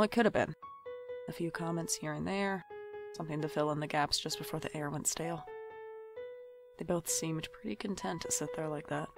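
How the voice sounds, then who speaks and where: A woman's voice: deep voice, creaky. A woman playing an anxious, sarcastic young shop worker in an audio drama, with wry, exasperated narration and dialogue. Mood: wry, fed up. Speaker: podcast drama lead and narrator.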